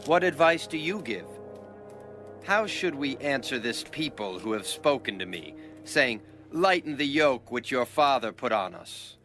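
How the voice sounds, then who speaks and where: A man playing a proud young king in a dramatized audio Bible, with a measured, declamatory delivery. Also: medium pitch, lively intonation, bright, forceful tone, faint music behind. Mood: questioning.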